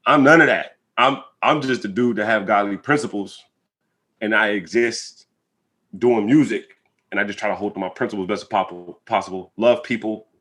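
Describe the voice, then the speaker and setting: Earnest American man with a bright, forceful voice. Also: lowish voice, moderate pace. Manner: earnest, emphatic, checks in with listener. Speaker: interview guest, rapper. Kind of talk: reflective conversational interview answers.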